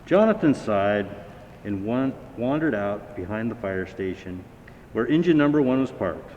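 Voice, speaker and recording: Guy reading kids story, unhurried n dramatic, big echo, kinda muffled. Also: sing-song, a little mellow. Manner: dramatic, acting out sounds and dialogue. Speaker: fire battalion chief reading a children's story. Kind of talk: expressive storybook narration. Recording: echoey hall, dull sound, faint rumbling noise.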